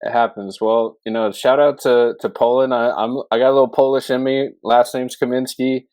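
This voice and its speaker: A man's voice: mid-range voice, fairly even intonation, normal speed, darkish tone, no room sound. A man hosting a podcast, talking in a casual, rambling and enthusiastic interview style. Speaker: podcast host. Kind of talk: casual interview with long introduction.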